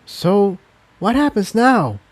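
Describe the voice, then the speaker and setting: Man putting on a high-pitched anxious unicorn mare. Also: big pitch swings, slow pace, full character voice. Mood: hushed, anxious. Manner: hushed, urgent. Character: young female unicorn pony, alarmed and cautious.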